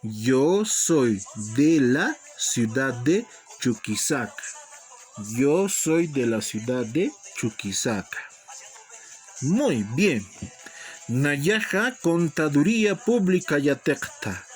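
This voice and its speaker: Slow, deliberate language teacher. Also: male voice, on the high side, sing-song, soft background music. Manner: slow, deliberate repetition of phrases; addresses students as brothers and sisters. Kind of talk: online language lesson.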